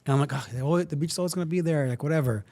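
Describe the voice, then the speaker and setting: A man's voice: a little high, a little mellow. A man from Aruba co-hosting a podcast, telling stories in a blunt, joking way. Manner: fast, blunt, joking storyteller. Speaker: podcast co-host. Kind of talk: casual podcast chat.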